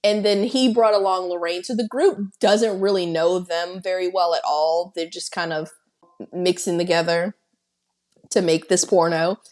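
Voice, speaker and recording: A woman co-hosting a podcast, chatting casually as she retells a film's plot with excited asides. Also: on the low side, moderate pace. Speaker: podcast co-host. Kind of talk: casual film-recap chat.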